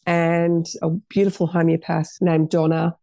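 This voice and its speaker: A woman's voice: on the low side, completely flat delivery, normal speed, creaky, dark tone, dry recording, studio quality. A middle-aged woman, a podcast guest telling her story in an earnest, rambling way with many restarts. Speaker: guest, cafe owner. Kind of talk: casual interview chat.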